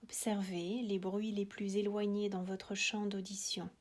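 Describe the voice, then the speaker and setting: A woman guiding a relaxation meditation in a soothing, hushed voice, with short phrases, long pauses and breathy, whispered endings. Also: medium pitch, flat delivery. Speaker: yoga nidra relaxation guide.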